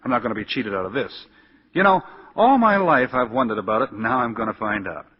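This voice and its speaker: An actor playing an easygoing, wry young lawyer in a 1940s radio drama, casual and chatty. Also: male voice, medium pitch, lively intonation, fast, slightly rough. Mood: wry, relaxed. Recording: muffled 1940s radio broadcast.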